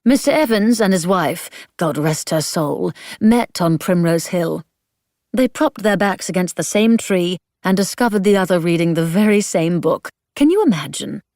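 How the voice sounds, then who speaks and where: A woman's voice: fairly low pitch, lively intonation, normal speed, a little mellow, no room sound, studio quality. A woman voicing a kindly, proud older woman who talks in a fond, genteel way.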